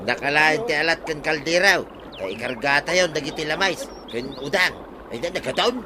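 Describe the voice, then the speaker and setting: A man's voice: a little high, moderate pace, a crowd in the background, natural voice. A man playing an eager village fisherman in a comedy radio drama, speaking with an Ilocano accent. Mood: pleased. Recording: loud water-like outdoor ambience behind voice.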